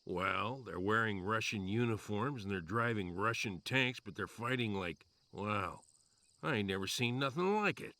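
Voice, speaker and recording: An actor playing a weary, wry army commander giving orders and briefings in a video game cutscene. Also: male voice, on the low side, animated, moderate pace, sharp tone, no echo. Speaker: military commander.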